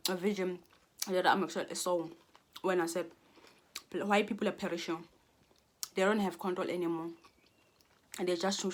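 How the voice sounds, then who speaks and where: Earnest South African woman vlogging. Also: fairly low pitch. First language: Xhosa. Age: 20s. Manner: earnest, rambling, many restarts and fillers. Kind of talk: casual monologue to camera.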